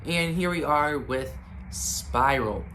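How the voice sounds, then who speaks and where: A man's voice: on the high side, takes it slowly, sharp tone, a little hiss or hum. A man with a congested, stuffy voice giving a casual movie review, rambling and hesitant with lots of ums and restarts.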